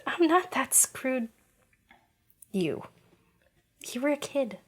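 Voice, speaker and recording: A woman's voice: high-pitched, very animated, takes it slowly, heavily acted. A woman playing a wry, wounded young actress in an audio drama. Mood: tender.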